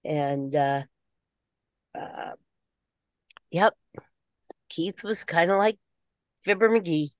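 Unhurried woman reminiscing casually, halting. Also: on the low side, no room sound, dull audio. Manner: halting, repeats words. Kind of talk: casual chat.

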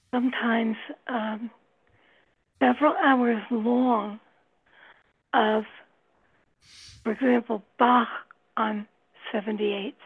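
A woman on a tinny phone line talking unscripted on radio, haltingly, in short phrases with long pauses. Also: fairly high pitch, husky.

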